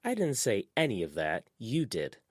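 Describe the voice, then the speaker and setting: A man's voice: fairly low pitch, huge pitch swings, normal speed, slightly rough, no room sound, pitched above the performer's own voice, heavily acted. A man voicing a reserved, slightly prim teenage girl with a dry, corrective manner.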